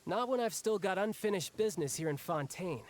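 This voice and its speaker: An actor playing a breezy, cocky young warrior in an anime video game, with a casual, boyish, wry manner. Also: male voice, high voice, lively intonation, fairly quick, a little hiss or hum. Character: breezy, cocky young warrior man, restless and battle-hungry.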